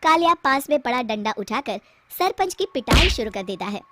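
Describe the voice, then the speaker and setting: High-voiced woman narrating a cartoon. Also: very animated, moderate pace, very noisy. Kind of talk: scripted storybook narration.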